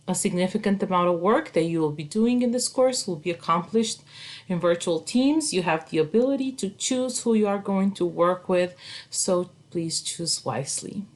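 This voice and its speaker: Woman instructor, lowish voice. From Romania. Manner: earnest, structured and a little formal, lifting the start of each new point. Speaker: college instructor. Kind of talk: course welcome video.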